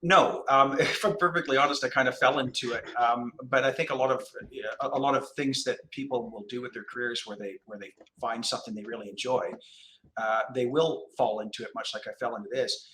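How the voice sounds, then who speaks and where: Canadian man, middle-aged, interview guest; bright forceful tone, thoughtful halting delivery, slight background hiss. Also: mid-range voice, fairly even intonation, poor audio. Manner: measured, hesitant, many restarts and fillers. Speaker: commercial lawyer, guest. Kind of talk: relaxed interview conversation.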